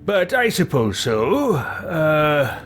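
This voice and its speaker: A man's voice: on the high side, big pitch swings, drawn-out words, fairly bright tone. Pompous, theatrical man with swooping delivery. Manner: pompous, theatrical, put-upon. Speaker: let's play host. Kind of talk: improvised roleplay commentary over a game. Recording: game music behind the voice. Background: fantasy game music.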